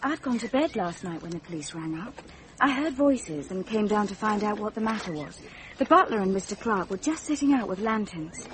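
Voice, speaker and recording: A woman's voice: mid-range voice, animated, a little fast, slight background noise, lightly acted, low-quality recording. A woman playing an earnest young secretary, shaken but composed, in a radio mystery drama.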